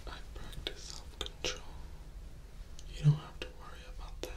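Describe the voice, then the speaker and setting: A young man playing a charismatic, coolly menacing male vampire in a hushed, intimate, coaxing ASMR roleplay. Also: moderate pace, echoey room, close to an everyday voice. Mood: soothing, menacing. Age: young adult. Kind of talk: ASMR roleplay, whispered and soft-spoken.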